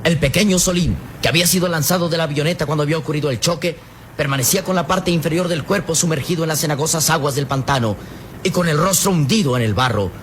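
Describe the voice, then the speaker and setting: High-pitched male radio serial narrator, solemn. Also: a bit bright, slight background noise. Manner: solemn, suspenseful, measured.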